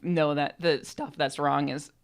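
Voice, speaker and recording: A woman's voice: low voice, steady tone, measured pace. A woman co-hosting a podcast, explaining things in an informal, rambling, self-deprecating way. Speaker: podcast co-host and co-writer. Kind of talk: casual explanatory chat. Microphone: podcast microphone.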